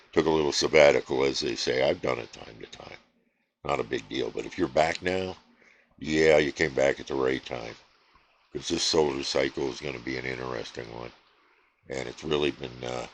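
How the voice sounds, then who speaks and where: A man casually chatting about his radio hobby at his home station, relaxed and rambling with many fillers. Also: a bass voice, a little hiss or hum. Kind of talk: casual chat to camera.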